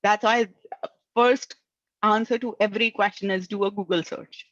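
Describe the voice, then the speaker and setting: A woman's voice: mid-range voice, steady tone, moderate pace, no room sound, muffled recording. Indian-accented woman giving career advice. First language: Indian language. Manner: earnest, tags lines with "right?". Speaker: career coach. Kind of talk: advice talk.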